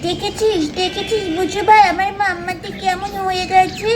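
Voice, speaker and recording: A woman's voice: very high voice, little pitch movement, moderate pace, noisy background. A woman voicing a sweet, pleading little child doll in a children's cartoon, with a childlike, whiny way of talking. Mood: hurt, indignant.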